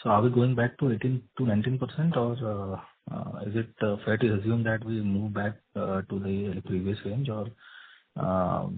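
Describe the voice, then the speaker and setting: A man's voice: lowish voice, level tone, normal speed, husky. A man, an analyst asking questions on an earnings call in a hesitant, roundabout way. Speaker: financial analyst caller. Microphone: phone call. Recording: muffled narrow phone line.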